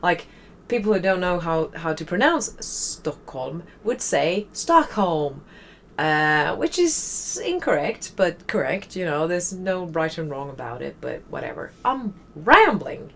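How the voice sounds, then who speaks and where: A woman's voice: lowish voice, big pitch swings, a little slow, slight background noise. Chatty Swedish woman rambling over a game. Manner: chatty, rambling, wry asides. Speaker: let's play host. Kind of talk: casual gameplay commentary.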